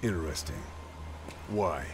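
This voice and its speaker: An actor playing a gruff, hard, suspicious commando officer in a video game cutscene. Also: male voice, extremely low pitch, very animated, unhurried, noisy background, exaggerated voice. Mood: firm, wary.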